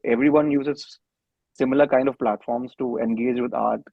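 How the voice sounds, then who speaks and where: A man with an Indian accent giving halting, casual interview answers as a musician, repeating words, over a thin phone-like connection. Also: mid-range voice, fairly even intonation, creaky, dry recording. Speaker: band musician, interview guest.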